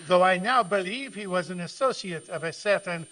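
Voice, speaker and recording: A man's voice: sits high, moderate pace, pushed and bright. A man playing a gracious, earnest botanist in an old-time radio play. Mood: puzzled, earnest. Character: polite, earnest French male botanist, bewildered and gracious. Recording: echoey live hall with mains hum.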